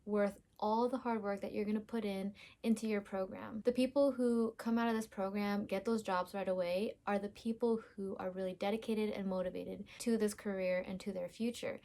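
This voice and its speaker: A woman's voice: medium pitch, steady tone, moderate pace, few pauses, warm tone. A young woman hosting a vlog about her sonography career, talking straight to her viewers in an upbeat, encouraging way. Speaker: host, sonographer.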